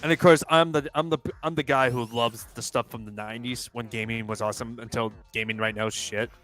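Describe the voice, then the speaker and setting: Brief: twenties guy, mid-pitched, loose rambling gamer talk with cursing, faint music underneath. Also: steady tone, fairly quick, a bit bright. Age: 20s. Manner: rambling, swears often, restarts sentences. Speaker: co-player on livestream. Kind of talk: casual gaming chat.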